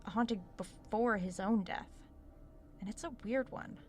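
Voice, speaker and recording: An actress playing a tired, uneasy author staying alone in a haunted house, speaking naturally with a guarded, hesitant manner in a horror audio drama. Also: female voice, mid-range voice, normal speed, faint music behind, lightly acted. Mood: pensive, uneasy.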